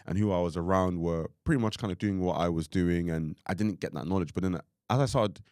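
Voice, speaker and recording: Deep-voiced young man, rambling casual chat. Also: fairly quick, a little mellow, no echo, clean studio sound. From Ireland. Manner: rambling, full of "like" and "you know what I mean". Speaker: podcast co-host.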